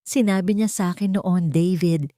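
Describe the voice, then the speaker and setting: A woman with a Filipino accent voicing a betrayed, quietly resolute heiress in an audio love story, soft but steady and bitter. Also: fairly low pitch, moderate pace, no echo, studio quality, close to an everyday voice. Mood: wounded, grave.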